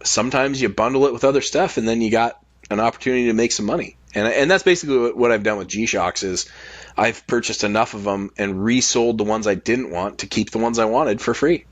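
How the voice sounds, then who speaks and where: A man's voice: mid-range voice, a little fast, no room sound. A man talking casually on a podcast, sharing personal anecdotes in a loose, conversational way. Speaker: podcast speaker.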